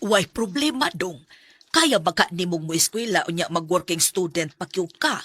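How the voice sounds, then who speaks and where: A woman acting a doting, encouraging elderly grandmother in a radio drama, with a husky voice and a Filipino Bisaya accent. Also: lowish voice, lively intonation, moderate pace, a bit bright, faint music behind. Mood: supportive.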